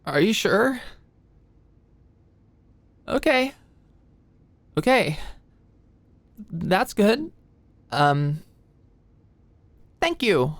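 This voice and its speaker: A woman's voice: medium pitch, very animated, extremely slow, long pauses, no room sound, heavily acted. A young woman playing an anxious, awkward female medical student in an intimate ASMR roleplay, speaking hesitantly and attentively. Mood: flustered, embarrassed. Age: young adult. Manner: nervous, hesitant, stammering, softly attentive. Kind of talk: ASMR roleplay, intimate scripted one-sided dialogue. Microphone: close studio-style mic, dry.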